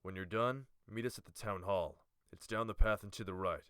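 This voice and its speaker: A man's voice: fairly low pitch, normal speed, fairly bright tone, clear, resonant tone, no echo, lightly acted. An actor playing a composed, courteous male village leader with a wry streak in a fantasy audiobook. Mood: polite, businesslike. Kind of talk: audiobook character acting.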